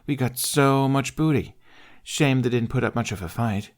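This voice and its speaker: A man's voice: medium pitch, voice pushed up, natural voice. A man voicing a brash, boastful young Viking girl thief in a storybook reading, chatty and cocky. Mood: proud, excited. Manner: chatty, cocky, fast-moving talk. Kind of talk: character voice in audiobook narration.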